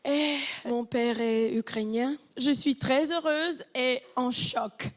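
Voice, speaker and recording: A woman's voice: high-pitched, slow. A young woman with a slight foreign accent giving short, polite answers as a talent show contestant. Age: young adult. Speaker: singing contestant.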